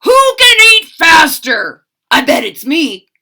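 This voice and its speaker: A woman voicing a boastful, bratty male pug from a storybook, loud and gloating. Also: sits high, huge pitch swings, takes it slowly, voice pushed up, heavily acted. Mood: gleeful challenge.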